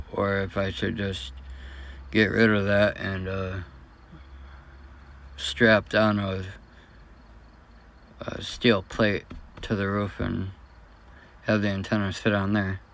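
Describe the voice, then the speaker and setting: Guy doing a casual vlog, kinda unsure and halting, gravelly fry in his voice, takes his time. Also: lowish voice, flat delivery, fairly bright tone. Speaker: vlogger. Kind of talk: casual unscripted troubleshooting vlog.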